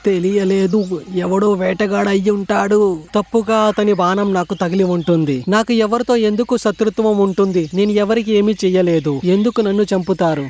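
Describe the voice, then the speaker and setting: A man voicing an earnest, high-voiced storybook courtier. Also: normal speed, darkish tone, lightly acted. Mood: gentle, earnest. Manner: formal, declamatory dialogue. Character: earnest, respectful storybook men of court and forest. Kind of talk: animated moral story voice acting.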